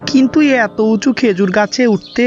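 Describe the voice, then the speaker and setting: A man's voice: high voice, moderate pace, soft background music, close to an everyday voice. A man voicing a fretful, kindly middle-aged village uncle in a fairy-tale cartoon, exclaiming and fussing on a dull recording. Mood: troubled.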